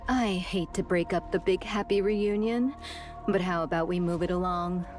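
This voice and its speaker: A woman's voice: fairly low pitch, normal speed, a little mellow, lightly acted. Papillon, a woman voicing a flirty teasing ally. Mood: brisk. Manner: teasing, calls others honey. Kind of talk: game voice acting. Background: game music.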